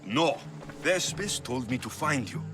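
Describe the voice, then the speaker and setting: Male mercenary voiced by an actor, gruff and resolute, no-nonsense, lively intonation, mid-pitched, video game drama, faint music behind. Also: measured pace, lightly acted. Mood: earnest. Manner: blunt, no-nonsense. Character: gruff, determined ancient Greek mercenary hero, forthright and protective. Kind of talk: video game cutscene acting.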